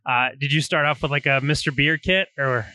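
A man in his thirties with a bright, forward voice asks questions and cheers answers on with enthusiasm. The sound is close and dry. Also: on the high side, fairly quick, dry recording. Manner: enthusiastic, affirming. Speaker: podcast co-host. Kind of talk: interview questions. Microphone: studio microphone on arm.

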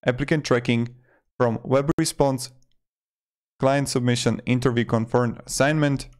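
A man's voice: mid-range voice, fairly even intonation, a little slow. A man in his twenties narrating a casual review as a video host, with loosely worded phrasing, speaking close to a desktop microphone in a room. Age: 20s. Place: small indoor room. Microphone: desktop microphone close in front of him.

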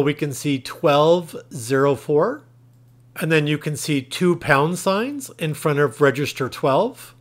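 A man narrating a tech tutorial in a plain, instructional, step-by-step way. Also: a little high. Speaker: tutorial presenter.

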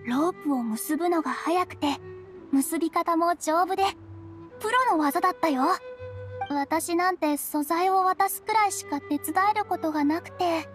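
Young scholar girl, very high voice, modest and earnest, game dialogue over faint music. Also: normal speed. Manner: polite, modest, hesitant. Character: timid, earnest young female researcher. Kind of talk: anime video game voice acting.

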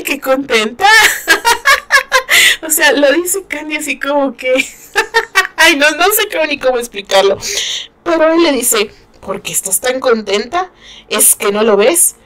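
A woman with a northern Mexican accent chatting casually about a novel she is reading, giggling and sighing as she comments. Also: high-pitched. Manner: giggly and expressive, sighing often. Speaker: book reaction host.

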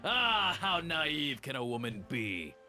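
A man's voice: a little high, sing-song, slow, sharp tone, soft background music, exaggerated voice. An actor playing a smug, cruel aristocratic father who is the villain, speaking theatrically with a sneer. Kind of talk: video game voice acting.

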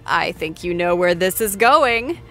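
A woman playing a gushing, excitable TV after-show host who interviews a star in an effusive manner. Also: on the low side, a little slow. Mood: upbeat presenting. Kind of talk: scripted celebrity interview show.

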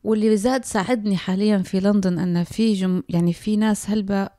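A woman in her thirties with a Libyan Arabic accent, a podcast guest who explains her points thoughtfully and pauses often. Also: mid-range voice, fairly even intonation, warm tone, no room sound, studio quality. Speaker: guest, applied linguistics lecturer. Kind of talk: casual conversation. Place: small home room. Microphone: handheld mic.

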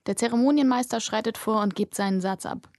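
A woman's voice: on the high side, quick pace, darkish tone, no echo. A woman narrating a fantasy audiobook in a close, inward, present-tense storytelling manner.

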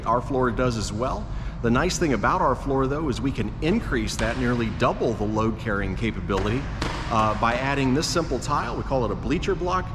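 A middle-aged man explaining a job in a friendly, steady, instructive way, partly on camera in a large indoor gym and partly in voice-over. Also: medium pitch, noisy background. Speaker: flooring company owner.

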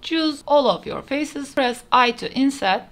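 A woman's voice: high-pitched, lively intonation, unhurried, fairly bright tone. A woman narrating a step-by-step 3D modeling tutorial in plain, instructional phrasing, recorded as a voice-over. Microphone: voice-over over screen recording.

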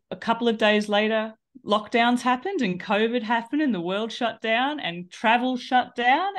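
A woman's voice: mid-range voice, a bit bright. A woman in her thirties with an Australian accent, telling her story at length on a video call with a headset, chatty and laughing easily. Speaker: guest, cycling tour business owner. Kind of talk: casual video call interview. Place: small room at home.

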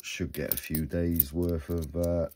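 Very deep, croaky British man. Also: fairly even intonation, unhurried. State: slightly croaky from a sore throat. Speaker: host of a coin hunting channel. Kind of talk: casual hobby vlog commentary. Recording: noisy home recording with crinkling bag sounds.

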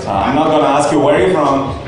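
A man doing stand-up, joking wryly and chatting with the audience. His voice comes from a distance in a very echoey club, with loud crowd laughter behind him. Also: fairly high pitch, fast. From Montenegro. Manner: wry, chatty crowd work. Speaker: stand-up comedian. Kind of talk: stand-up comedy set. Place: comedy club stage. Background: crowd laughter and music.

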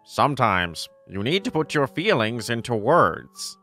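A woman voicing a nosy, playful teenage school friend in a manga dub, teasing and expressive. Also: a bass voice, unhurried, faint music behind, full character voice. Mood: casual.

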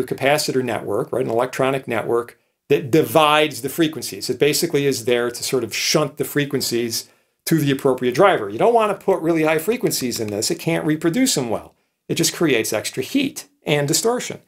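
A man in his sixties, a professor explaining things informally, in a conversational way with frequent checking tag questions. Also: fairly high pitch. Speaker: professor, lecturer. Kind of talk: informal explanatory electronics lecture.

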